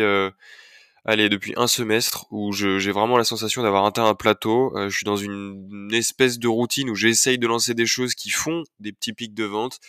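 A man's voice: lowish voice, fairly bright tone, clean tone, no echo, clean studio sound. A young man hosting his own podcast while he has a cold, talking casually with many fillers and restarts. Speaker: podcast host. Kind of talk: solo podcast chat.

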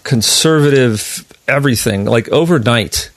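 American male, middle-aged, mid-pitched, casual talk in a dry space. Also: unhurried, no echo. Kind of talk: casual conversation.

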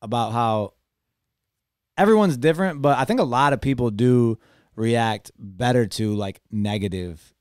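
A young male podcast host whose pitch rises and falls freely as he talks. He thinks out loud in a relaxed, thoughtful way. The sound is close and dry, with no room echo. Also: a little slow, clean tone. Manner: thoughtful, talks things through. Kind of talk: casual podcast chat.

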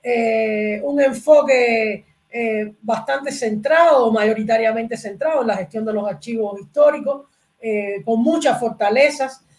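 High-voiced middle-aged Cuban woman. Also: measured pace, darkish tone. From Cuba. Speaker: podcast guest, archivist and academic. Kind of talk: interview explanation.

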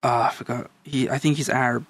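A man's voice: medium pitch, steady tone, a little fast. A man in his thirties, born in El Salvador, chatting casually and telling stories as a guest. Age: 30s. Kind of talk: casual chat.

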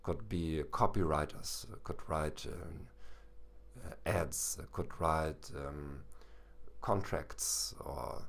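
A hoarse man hosting a solo educational podcast as a language teacher, talking in a halting way with many fillers and restarts. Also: a bass voice.

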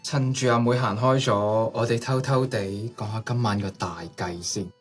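Very low, distant, suave older brother. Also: female voice, normal speed, slightly rough, faint music behind. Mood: casual, scheming. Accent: Hong Kong Cantonese. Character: young older brother, suave and theatrically flattering. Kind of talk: radio drama acting.

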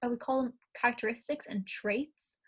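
A young Irish woman, a student, talking through ideas in a casual class discussion, her voice a little croaky from the morning. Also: on the high side, moderate pace. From Ireland. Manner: chatty, thinks aloud, frequent "like".

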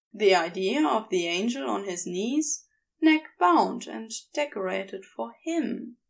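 A woman narrates fiction with slow, deliberate phrasing and a pitch a little above the usual. Her melody moves widely from phrase to phrase, and the voice often settles into a gravelly fry. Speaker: audiobook narrator of fan fiction. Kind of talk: scripted story narration, expressive.

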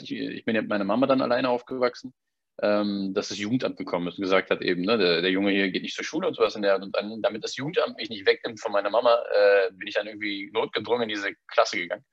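A man's voice: lowish voice, dry recording. A man, casually telling personal stories and often checking in with a tag question. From Berlin, Germany. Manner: conversational, often tagging statements with a questioning "ne". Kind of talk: casual personal storytelling in conversation. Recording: dull, dry lo-fi recording.

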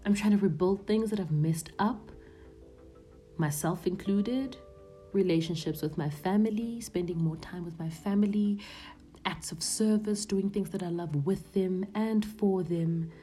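Podcast host, woman in her 30s, mid-pitched warm tone, earnest and confiding, slips into hushed whispers. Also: a little slow, soft background music. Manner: earnest, often drops to a hushed near-whisper. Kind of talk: reflective personal monologue.

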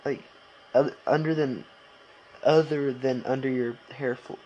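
A man's voice: mid-range voice, fairly even intonation, a little slow, mellow, dull audio. A young man co-hosting a casual podcast, bantering and interjecting in informal, jokey slang. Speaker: podcast co-host.

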